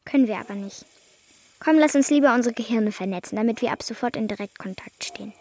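Woman playing a high-voiced earnest young girl. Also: fast talker, a little mellow, no room sound, close to an everyday voice. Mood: friendly, earnest. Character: girl, devoted best friend who cares for a dog. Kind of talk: German children's radio drama acting.

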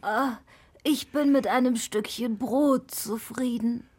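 Slow-spoken, high-voiced good fairy. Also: female voice, fairly even intonation, a little mellow, husky, dry recording. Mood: frail, pleading. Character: kindly, insistent good fairy disguised as poor old beggar woman. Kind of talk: children's radio play acting.

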